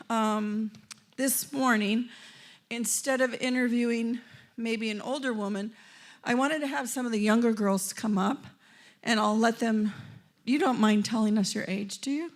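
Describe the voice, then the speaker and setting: A woman moderating a panel at a church women's event, chatty and casual, laughing often and trailing off with fillers. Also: a little high. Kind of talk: casual hosting, friendly and talkative.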